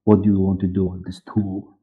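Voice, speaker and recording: A man in his forties with a Polish accent, giving halting interview answers as he searches for words and repeats himself. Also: low voice, little pitch movement, slightly rough. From Poland. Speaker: guest, audio drama director and producer. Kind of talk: unscripted interview talk. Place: dark room, on a video call. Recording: muffled phone-like video-call audio.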